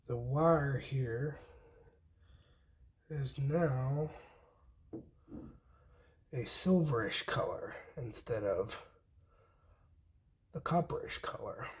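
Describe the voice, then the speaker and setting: A man's voice: mid-range voice, extremely slow, long pauses, a little mellow. A man hosting a casual hands-on DIY tutorial, explaining informally step by step in short pieces as he works. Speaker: host of a DIY homestead channel, demonstrating wiring.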